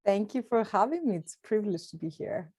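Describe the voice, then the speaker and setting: A middle-aged woman from Greece with a Greek accent, answering interview questions thoughtfully with pauses and restarts. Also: mid-range voice, animated, mellow, slightly rough. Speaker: guest astronomer.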